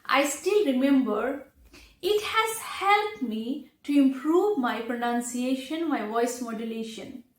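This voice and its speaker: A high-voiced woman, not a native English speaker, presents a lesson for learners. She speaks slowly and deliberately, breaking her advice into short phrases. The room around her adds a clear echo. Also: animated. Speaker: English teacher, video presenter. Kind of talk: instructional talk to learners. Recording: echoey room.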